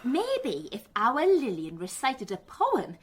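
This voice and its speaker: A woman's voice: a little high, wildly swooping pitch, slow, a little hiss or hum, full character voice. A woman playing an effusive, genteel hostess and amateur poet in a radio drama. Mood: eager. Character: gushing, genteel hostess who writes poetry. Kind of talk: British radio drama acting.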